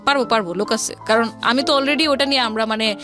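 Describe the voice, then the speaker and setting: Female interview guest, Bangladeshi accent, slightly high voice, conversational storytelling with English words mixed in, music clearly underneath. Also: fast talker. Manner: mixes English words into speech.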